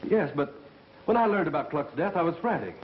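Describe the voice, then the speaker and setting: An actor playing a frantic, guilt-ridden suspect confessing in a 1930s film melodrama. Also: male voice, on the high side, animated, fairly quick, lightly acted. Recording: muffled, hissy 1930s film soundtrack.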